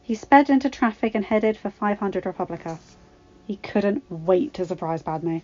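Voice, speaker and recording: A woman reading scripted fiction aloud as an expressive story narrator. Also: mid-range voice, normal speed, muffled recording. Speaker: podfic narrator.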